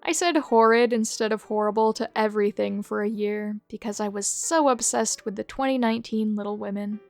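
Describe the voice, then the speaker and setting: A woman hosting a video who reads out short fan confessions one after another in a brisk, matter-of-fact way. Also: medium pitch, gravelly, a little mellow, faint music behind. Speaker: host reading viewer submissions. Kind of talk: reading aloud a list of fan confessions.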